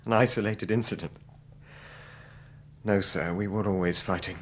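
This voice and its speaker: An actor playing a distressed, defensive young man testifying in a radio drama, earnest, emotional and halting. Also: on the low side, steady tone, close to an everyday voice. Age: young adult.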